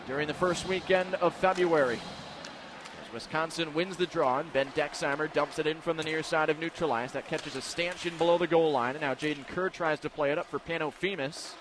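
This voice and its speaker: A man doing live radio play-by-play commentary of a hockey game, describing the action in crisp detail. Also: a little high. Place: indoor ice hockey arena. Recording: noisy live arena broadcast. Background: loud arena noise.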